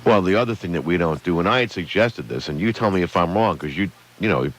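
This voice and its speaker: Deep-voiced, lively radio co-host. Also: male voice, fast, poor audio. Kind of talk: radio talk show.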